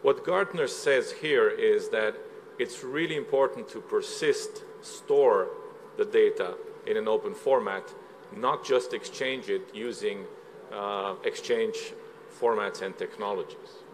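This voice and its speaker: A man's voice: lowish voice, a little hiss or hum. Slovenian man giving a conference talk. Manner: measured, explanatory, with frequent "uh" fillers. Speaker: conference speaker. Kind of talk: conference presentation. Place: conference hall.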